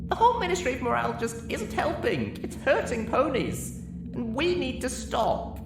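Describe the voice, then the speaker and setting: A man voicing a manic, tearful female cartoon pony in a shrill falsetto, childlike, earnest and emotional. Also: huge pitch swings, normal speed, creaky, roomy sound, far from the mic, over music, full character voice. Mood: solemn, desperate.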